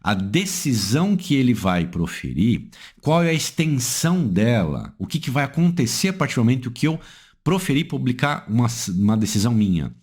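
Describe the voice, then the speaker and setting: Lively Brazilian man explaining the law. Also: moderate pace, husky. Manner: asks rhetorical questions and answers them; emphatic, swings pitch up and down for stress. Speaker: legal analyst. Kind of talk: explanatory legal lecture.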